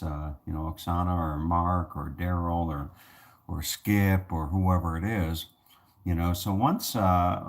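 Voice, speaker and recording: A man's voice: low-pitched, a little mellow. A man hosting his own channel, talking casually to the camera with a soothing voice, rambling through many restarts and fillers. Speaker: channel host.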